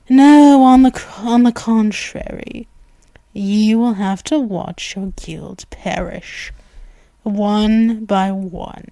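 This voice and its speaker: A woman voicing a seductive, menacing female vampire, speaking slowly with a purring, teasing delivery and soft whispers, recorded on a close mic. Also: medium pitch, no echo. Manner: slow, teasing, threatening. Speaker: ASMR roleplay voice actress. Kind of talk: ASMR roleplay.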